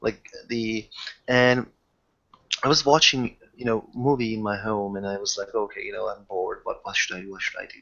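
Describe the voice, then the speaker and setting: A man who haltingly searches for words as he improvises in a casual tabletop roleplaying session. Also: fairly low pitch, flat delivery, vocal fry, a bit bright, muffled recording. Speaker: game master or player in a tabletop roleplaying session.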